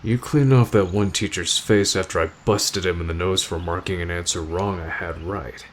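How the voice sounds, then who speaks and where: A man playing an aloof, stoic teenage delinquent boy, curt and deadpan, in an ASMR roleplay addressed to the listener. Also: low-pitched, normal speed, slight background noise, lightly acted.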